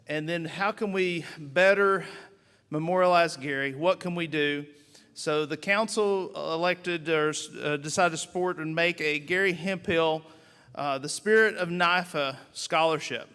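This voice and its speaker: A man with a higher, bright voice is emceeing a banquet at a podium. His tone is smooth and resonant, and he speaks informally with many fillers. The hall gives his voice a long reverb. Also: a little slow. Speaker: organization official and emcee.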